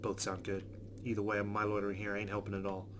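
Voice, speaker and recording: A man's voice: on the low side, monotone, fast, background noise. A man hosting a let's play, reading visual novel narration aloud.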